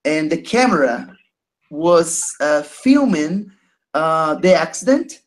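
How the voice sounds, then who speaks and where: A man in his thirties from Brazil with a Brazilian Portuguese accent, speaking English as a second language on a video call, halting and hesitant, with many fillers. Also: fairly high pitch, expressive. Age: 30s. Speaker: caller. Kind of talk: casual chat.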